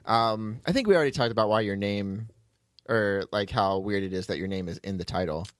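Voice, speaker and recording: A man's voice: fairly low pitch, a little fast, no room sound. A man hosting a podcast in casual, joking chat, with a dry, teasing manner, close to the microphone. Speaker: podcast host, audio drama writer and producer.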